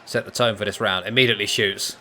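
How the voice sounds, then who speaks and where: A young man casually commentating a fight video game, excitable and breaking into shouts at big moments. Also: on the low side, fast.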